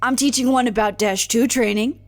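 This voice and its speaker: A woman's voice: fairly high pitch, fairly even intonation, brisk, lightly acted. A woman acting in an audio drama as a blunt, restless worker with an informal, grumbly way of talking. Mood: coaxing.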